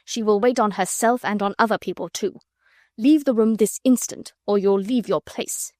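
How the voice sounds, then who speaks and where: A woman's voice: medium pitch, normal speed, a little mellow, dry recording, studio quality, lightly acted. A woman playing a cold, commanding headmistress. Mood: angry commanding. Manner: clipped, commanding, scornful. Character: stern, cold middle-aged headmistress.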